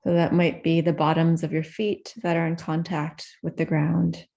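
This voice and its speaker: A woman leading a guided meditation in a gentle, unhurried manner with soft pauses between phrases. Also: low voice, flat delivery, gravelly.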